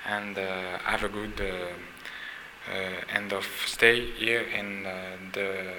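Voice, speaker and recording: A man's voice: low voice, little pitch movement, takes it slowly. A man interviewing an artist, asking long questions with frequent hesitations and fillers. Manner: hesitant, searching for words, many fillers. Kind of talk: exhibition interview. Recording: loud ambient noise at an exhibition.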